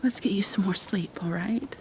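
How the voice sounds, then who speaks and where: A woman playing a tender, obsessive female kidnapper soothing her captive in an intimate ASMR roleplay, speaking softly and haltingly, often in breathy whispers. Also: medium pitch, lightly acted. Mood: tender, coaxing. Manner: hesitant, halting, coaxing. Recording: clear background noise, rain ambience.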